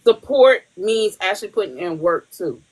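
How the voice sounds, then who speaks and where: A woman's voice: medium pitch, lively intonation, moderate pace, darkish tone, poor audio. Blunt, laughing woman podcast host. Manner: blunt, profane, laughs often. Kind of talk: casual chat.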